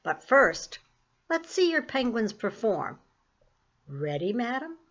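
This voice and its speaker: A woman reading the part of a brisk, businesslike male theater owner who gives orders in a commanding way. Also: on the high side, very animated, fairly bright tone, highs cut off, voice pushed up, heavily acted.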